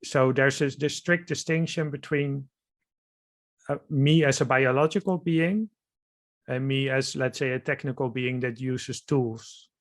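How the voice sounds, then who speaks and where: A man's voice: mid-range voice, fairly even intonation, measured pace. A man with a Dutch accent hosting an interview, summarizing ideas while searching for words, wearing headphones. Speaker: host. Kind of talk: casual interview chat.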